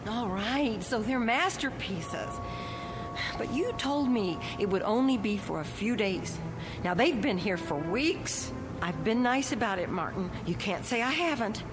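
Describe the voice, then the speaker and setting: An actress playing an exasperated, fearful middle-aged wife in a radio drama, scolding and insistent. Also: female voice, on the high side, expressive, a little slow. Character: exasperated, frightened, nagging wife at her wits' end. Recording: music under the dialogue.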